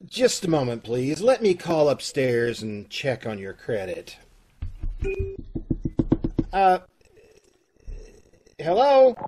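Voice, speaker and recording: A man acting a flustered, exasperated loan officer in an old-time radio comedy, with a husky voice. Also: on the high side, big pitch swings, slow, pauses often, mellow, soft background music. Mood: bewildered. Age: middle-aged. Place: indoors, on a video call. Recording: home video-call recording.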